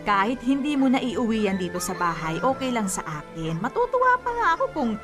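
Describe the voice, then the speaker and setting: A woman's voice: fairly high pitch, big pitch swings, fairly quick. A woman playing a doting, pushy old grandmother who scolds and teases in a Filipino radio drama. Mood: playful nagging. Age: older. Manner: scolding, teasing. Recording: music bed behind voice.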